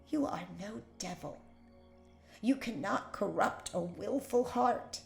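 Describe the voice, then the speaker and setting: A woman playing a proud, devout older Puritan prisoner in a period audio drama, speaking solemnly and deliberately in archaic phrasing. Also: mid-range voice, vocal fry, full character voice. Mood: defiant. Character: defiant, devout older imprisoned Puritan wife, proud and unbending. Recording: roomy echo.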